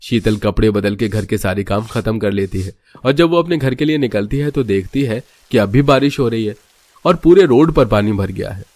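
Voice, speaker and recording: A man's voice: lowish voice, moderate pace, darkish tone, dry recording. A man narrating a scripted cartoon story as its storyteller.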